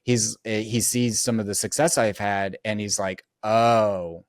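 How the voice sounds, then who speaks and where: Easygoing man with a low, dry voice. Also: fairly even intonation, unhurried, dry recording. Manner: easygoing, agreeing often, tells anecdotes. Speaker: guest, real estate investor. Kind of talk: casual interview chat.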